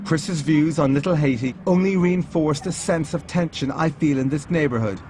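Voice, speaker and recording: A man narrating a documentary in a measured, first-person scripted voice-over. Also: a little high, noisy background. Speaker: documentary presenter. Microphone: studio voice-over. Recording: over loud score music.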